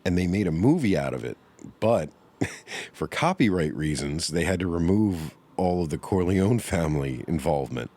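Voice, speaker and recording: A man co-hosting a casual film podcast, animated and profane, laughing often. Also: low-pitched, moderate pace. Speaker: podcast co-host.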